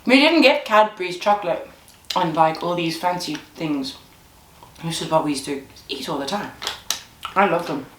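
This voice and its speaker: A woman's voice: fairly low pitch, lively intonation, normal speed, long pauses, fairly bright tone. A woman with a Zimbabwean accent chatting casually and laughing often while tasting snacks. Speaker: vlogger. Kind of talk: casual chat. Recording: echoey room, faint noise.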